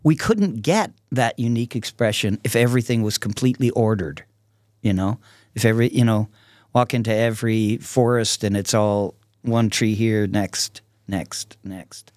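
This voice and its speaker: A man in his sixties speaking casually and reflectively as a podcast guest, in a halting, thoughtful way. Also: on the low side, darkish tone, dry recording, studio quality. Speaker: podcast guest, artist and songwriter. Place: small podcast studio with curtains. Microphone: close podcast microphone on a stand.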